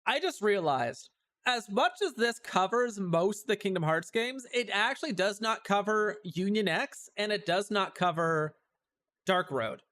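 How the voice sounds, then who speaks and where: Lively higher-pitched man commenting on a game. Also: a little slow. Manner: musing, reacting aloud in fragments. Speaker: livestreamer. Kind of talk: casual live game commentary.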